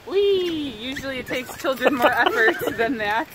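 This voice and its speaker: A woman's voice: sits high, lively intonation, normal speed, over a noisy crowd. A young woman with a Chicago accent narrating a friend's playground run as mock commentary, outdoors on a phone recording. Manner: announcing each step in a mock-serious voice. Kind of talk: playful play-by-play narration. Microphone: phone camera held by someone else.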